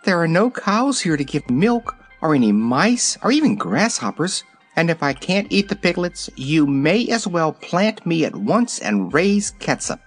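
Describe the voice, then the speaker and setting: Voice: medium pitch, big pitch swings, a little slow, faint music behind, pitched above the performer's own voice. A narrator voicing an eager, hungry female kitten in an audiobook, wheedling and plaintive. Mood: wailing. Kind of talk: audiobook character voice.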